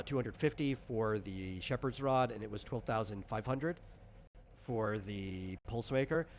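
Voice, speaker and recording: A man's voice: medium pitch, a little hiss or hum. Rambling man explaining game rules casually. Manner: rambling, conversational, frequent "like" asides. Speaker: game master and designer.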